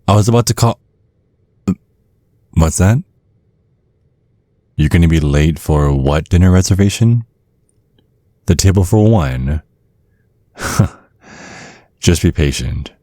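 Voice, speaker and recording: A man's voice: a bass voice, warm tone, no echo, heavily acted. A man playing a centuries-old vampire father in an ASMR roleplay, protective and slyly polite, teasing with veiled threats. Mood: polite welcome. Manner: mock-courteous, insinuating, teasing with veiled threats.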